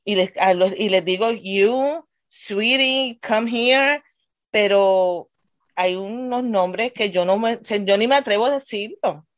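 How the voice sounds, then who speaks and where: A woman with a Puerto Rican accent, a teacher, chatting casually and telling animated stories, dropping English words into her talk. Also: mid-range voice, slow, dry recording. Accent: Puerto Rican Spanish. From Puerto Rico. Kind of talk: casual chat.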